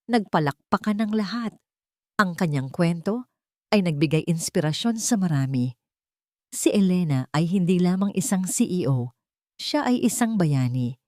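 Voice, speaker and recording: Female narrator for heartfelt scripted drama stories: low register, earnest delivery, dry studio-like sound. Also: a little mellow, dry recording. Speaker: story narrator.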